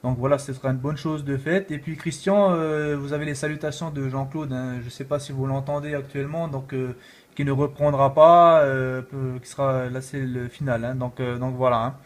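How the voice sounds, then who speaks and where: A man's voice: medium pitch, steady tone, normal speed. A man chatting casually as an amateur radio operator, rambling along with repeated filler tags. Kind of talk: casual radio contact chat.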